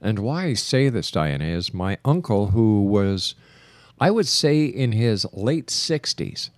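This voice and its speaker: A man hosts a radio interview in a fairly low voice. His phrasing is deliberate and emphatic, and the sound is dry, with little room in it. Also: very animated, no room sound. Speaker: radio host. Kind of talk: radio interview with broadcast announcements.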